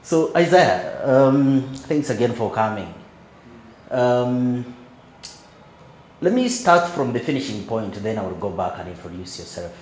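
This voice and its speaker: A man hosting a podcast interview, gently prompting his guest and murmuring "mm" along as he listens. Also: mid-range voice, animated, a little slow, roomy sound, a little hiss or hum. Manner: encouraging, frequent backchannel "mm", gentle prompting. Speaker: podcast host.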